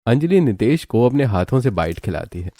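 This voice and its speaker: A man reading scripted narration for an animated family story. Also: mid-range voice, fairly quick, faint music behind.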